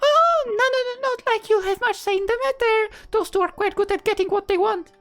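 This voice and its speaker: A woman's voice: very high-pitched, brisk, fairly bright tone, pitched above the performer's own voice. A woman voicing a flustered, caring teenage schoolgirl in a visual novel, stammering and twitchy, with music behind her. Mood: playful, warm. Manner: stammering, twitchy when embarrassed. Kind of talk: visual novel character dialogue.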